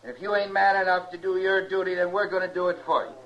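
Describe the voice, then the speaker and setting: A man's voice: high-pitched, flat delivery, fast, lightly acted. A man with an American accent playing a defiant, taunting mob leader with folksy speech in an old-time radio western. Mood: menacing. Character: belligerent rabble-rousing western mob leader, a male drifter. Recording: muffled old radio recording.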